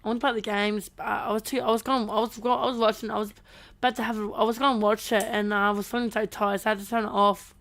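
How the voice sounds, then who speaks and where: A woman's voice: mid-range voice, little pitch movement, fast talker, gravelly, fairly bright tone. A woman co-hosting a casual movie chat podcast, giving brief, plain-spoken answers and repeating her phrases. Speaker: podcast co-host.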